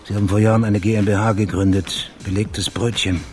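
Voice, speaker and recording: Man doing a cynical private eye in a radio play, lowish voice, dry and clipped. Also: fairly even intonation, a little fast, a little mellow, close to an everyday voice. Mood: dry, businesslike. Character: tough, terse, cynical male private investigator. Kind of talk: German radio crime drama acting.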